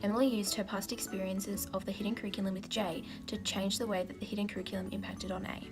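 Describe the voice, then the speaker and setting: Fast-reading young woman. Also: medium pitch, level tone. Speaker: university student. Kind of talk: scripted analytical narration. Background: background music.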